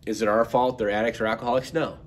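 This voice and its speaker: A man's voice: medium pitch, steady tone, quick pace, slight background noise. A man, a pastor preaching a podcast sermon while congested from a head cold, casual and conversational with fillers and repeats.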